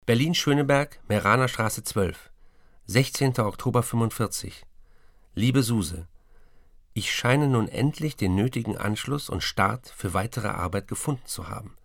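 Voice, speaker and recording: A worn-out, despairing writer. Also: male voice, on the low side, moderate pace, gravelly, no echo, clean studio sound. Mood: businesslike. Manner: subdued, heavy, resigned reading. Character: weary despairing male writer, middle-aged. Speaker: reader of letters and memoirs. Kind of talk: broadcast documentary reading.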